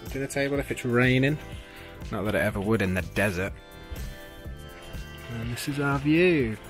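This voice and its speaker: A man's voice: medium pitch, lively intonation, moderate pace. A young man chatting casually as co-host of a travel vlog. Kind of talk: casual vlog chat behind the camera. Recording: loud music over the voice.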